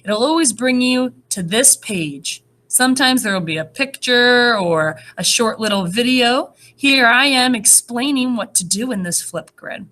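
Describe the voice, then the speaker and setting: A woman with a fairly high voice teaches first graders, reading aloud and explaining things to them. Her pitch moves around a great deal as she talks. Her manner is encouraging and clearly aimed at young children. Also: measured pace, few pauses. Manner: animated, encouraging, child-directed. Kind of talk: read-aloud and lesson addressed to young children.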